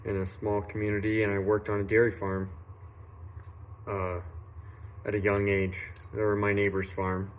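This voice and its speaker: Earnest low-voiced man telling his story. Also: monotone, slight background noise. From western Illinois, USA. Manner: unhurried, earnest, with many fillers and restarts. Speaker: tree service owner. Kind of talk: casual personal story to camera. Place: outdoors at a work site, doing saw maintenance.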